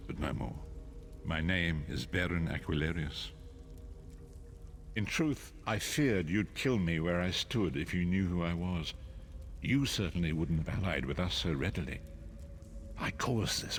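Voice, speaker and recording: A low, solemn male voice, that of an aged noble prophet in a fantasy game. He speaks with formal, measured gravity and earnest remorse. Music plays behind him. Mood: remorseful. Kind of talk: fantasy video game character acting.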